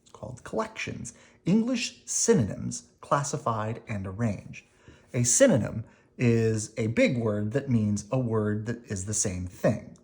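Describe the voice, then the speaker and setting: Storybook reader, slow careful pace, very animated intonation, expressive emphasis, explaining for children. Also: medium pitch. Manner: expressive, deliberate reading with dramatic emphasis. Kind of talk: reading a children's picture book aloud.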